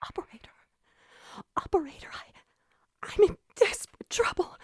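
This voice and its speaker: A woman's voice: moderate pace, no room sound, whispered, clean studio sound, natural voice. A woman playing a nervous, demanding bedridden wife in an old-time radio thriller, fretful and dramatic. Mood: frightened, hushed. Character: nervous, imperious invalid wife, bedridden, increasingly terrified.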